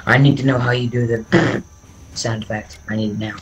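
A man's voice: medium pitch, fairly even intonation, normal speed, slight background noise, rough recording. Easygoing guy chatting with a friend. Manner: laid-back, teasing, chuckles between remarks. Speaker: friend on voice chat. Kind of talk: casual chat.

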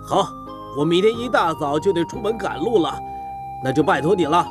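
An actor playing a genial, wealthy middle-aged villa owner in a folk-tale cartoon, with a husky voice and effusive, courteous delivery. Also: male voice, a little high, lively intonation, brisk, over music, lightly acted. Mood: polite, grateful.

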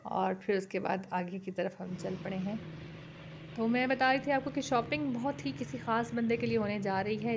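A woman from Pakistan vlogging in a casual, chatty way, talking to viewers as she shops in a bazaar at night and then at home. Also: medium pitch. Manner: chatty, addresses viewers with frequent "ji". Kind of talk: casual vlog narration. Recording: dull lo-fi phone audio with faint street noise.

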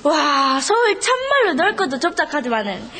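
A woman's voice: sits high, very animated, moderate pace, pushed and bright, slight background noise, exaggerated voice. A young actress playing a plucky, blunt country schoolgirl in a children's TV drama, with a Gyeongsang accent. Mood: delighted, teasing. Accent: Gyeongsang Korean. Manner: brash, outspoken. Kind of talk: television children's drama acting.

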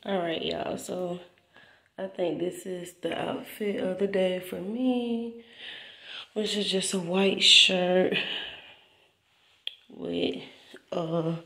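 A woman's voice: lowish voice, fairly bright tone. A woman in her twenties chatting casually to her phone camera in a laid-back, slangy vlog. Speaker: vlogger. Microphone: handheld phone camera.

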